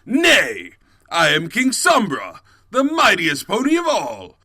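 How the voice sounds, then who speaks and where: A man's voice: sits high, huge pitch swings, slow, bright, forceful tone, husky, dry recording, studio quality, exaggerated voice. A man voicing a vain, blustering evil stallion king in a cartoon audio drama, with a grandiose, theatrical manner. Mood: pompous.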